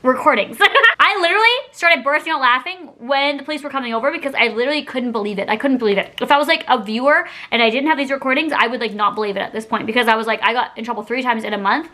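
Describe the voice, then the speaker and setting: A woman's voice: sits high, fast, sharp tone. A young woman telling a casual story to camera in a chatty, dramatic, giggly manner full of tangents. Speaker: YouTube storytime vlogger.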